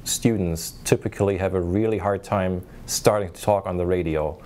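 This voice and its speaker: A man's voice: deep voice, steady tone, moderate pace, darkish tone, slight background noise. A middle-aged man hosting a panel, putting questions to guests in a conversational way. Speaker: host, interviewer. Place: small meeting room, around a conference table.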